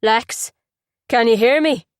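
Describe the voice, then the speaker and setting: A man's voice: very high-pitched, takes it slowly, smooth, no room sound, studio quality. A woman reading an audiobook and voicing a coaxing, needling older brother with a lilting Irish accent. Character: brooding Irish older brother, man in his thirties.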